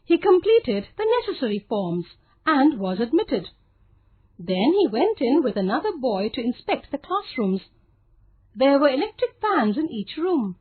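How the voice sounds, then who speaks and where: A woman storyteller with a high, breathy-husky voice reads a children's tale. Her pitch moves in a lively, animated way. The sound is narrow and lo-fi, like a phone line. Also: measured pace. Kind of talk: scripted children's story narration.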